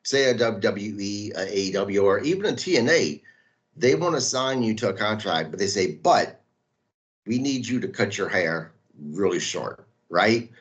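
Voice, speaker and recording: Low-voiced man host asking questions. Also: expressive, lo-fi sound. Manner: probing, halting, restarts words. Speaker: podcast host. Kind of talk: interview questions.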